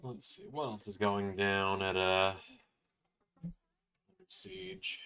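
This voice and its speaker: A man's voice: fairly low pitch, wildly swooping pitch, slow pace, vocal fry, sharp tone. A man hosting a live reaction stream, chatting casually and thinking aloud with fillers. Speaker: stream host.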